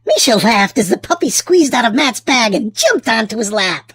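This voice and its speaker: Woman reading a storybook with very animated pitch. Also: breathy edge, dry recording. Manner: theatrical, drawn-out emphasis for small children. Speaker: children's storybook reader and channel host. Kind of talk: expressive read-aloud narration for young children.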